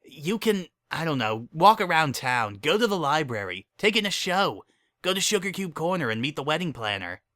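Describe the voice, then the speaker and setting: A man's voice: on the high side, sing-song, moderate pace, sharp tone, dry recording, clean studio sound, voice pushed up. A man voicing a blunt, exasperated young unicorn mare in a pony story, grumbling as she talks. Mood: resigned.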